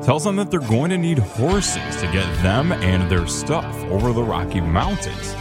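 A man narrating a scripted radio history feature. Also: fairly low pitch. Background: plucked guitar music.

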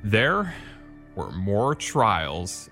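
Slow, dramatic male narrator. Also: on the low side, huge pitch swings, over music. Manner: slow, dramatic, drawn-out delivery. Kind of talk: scripted story narration.

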